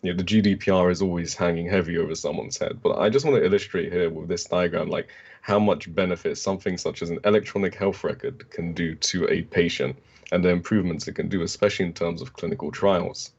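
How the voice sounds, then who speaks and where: A man's voice: sits low, fairly even intonation, fast talker, a little mellow, breathy edge. A man co-presenting in an online conference talk, explaining fluently over muffled video-call audio.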